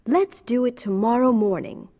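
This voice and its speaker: A woman's voice: a little high, moderate pace, dark tone, close to an everyday voice. A woman playing a clever, brave young princess in a storybook, with an American accent and a composed, decisive manner. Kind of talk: storybook dialogue.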